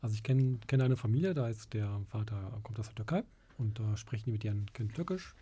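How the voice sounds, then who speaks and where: A man's voice: on the low side, fairly quick, mellow, a little hiss or hum. A man, a teacher, leading a classroom discussion and asking questions, speaking hesitantly with many fillers.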